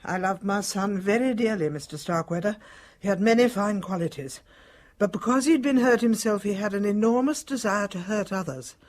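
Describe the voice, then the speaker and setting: An older woman playing a dying British matriarch in a radio drama, speaking in a measured, formal, guarded way. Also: mid-range voice, darkish tone, lightly acted. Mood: grave, confiding. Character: composed, dying elderly matriarch with secrets.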